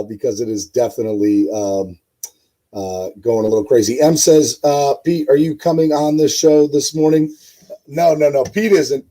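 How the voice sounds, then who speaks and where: A man with a hoarse voice hosting a casual live-stream sports show, rambling with many fillers and talking to his chat viewers. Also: medium pitch, warm tone. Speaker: host.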